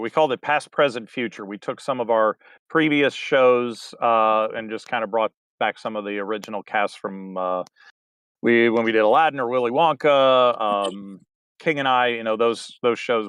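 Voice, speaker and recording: A middle-aged man giving a rambling, halting interview answer, full of fillers and restarts. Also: on the low side, a bit bright, clear, resonant tone, dry recording. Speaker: interview guest, theater director. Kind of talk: casual interview talk.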